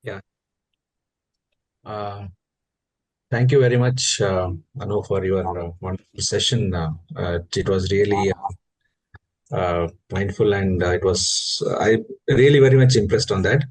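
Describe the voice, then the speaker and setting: A man asking a question in a webinar over a video call, hesitant and full of pauses and fillers. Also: deep voice, fairly even intonation, low-quality recording.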